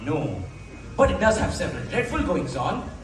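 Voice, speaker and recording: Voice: medium pitch, huge pitch swings, moderate pace. A young actor plays a stern yet indulgent guardian spinning a bedtime tale in theatrical verse. The delivery swings widely and formally, distant in a very echoey hall. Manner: wordy, formal, dramatic.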